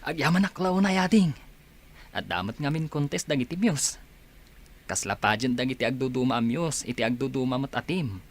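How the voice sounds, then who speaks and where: A man's voice: medium pitch, expressive, brisk. A man playing a friendly young student athlete in a radio drama, pleading and coaxing as he talks. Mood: encouraging.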